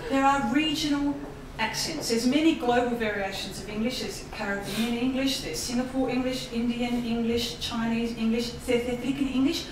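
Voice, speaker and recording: A woman with an Australian accent giving a lively public talk about voice work, laughing often and mimicking accents. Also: on the high side, moderate pace, hardly any pauses, distant mic, background noise. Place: embassy hall. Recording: echoey hall audio from afar.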